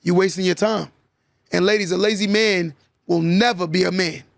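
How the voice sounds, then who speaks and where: A man's voice: sits high, fairly even intonation, moderate pace, vocal fry, dry recording, clean studio sound. A man hosting a podcast, lecturing his listeners emphatically and repeating his key lines for effect. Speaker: podcast host. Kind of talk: casual podcast talk, motivational monologue.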